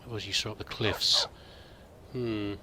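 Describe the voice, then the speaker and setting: A man's voice: on the low side, fairly even intonation, a little slow, background noise. A man giving in-character let's play commentary, musing aloud and muttering to himself as he goes. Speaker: host of a roleplay let's play.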